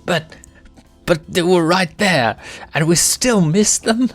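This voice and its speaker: A man's voice: sits high, slow pace, dry recording, faint music behind, pitched above the performer's own voice. A man voicing a female hyena henchwoman, a whiny, exasperated cartoon villain from a story. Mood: baffled. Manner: exasperated, complaining, wheedling.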